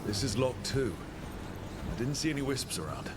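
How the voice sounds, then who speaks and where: An actor playing a plain-spoken adventurer leading a party in a video game, direct and conversational. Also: male voice, medium pitch, expressive, normal speed, reverberant, heavy background noise, natural voice. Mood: questioning, plain.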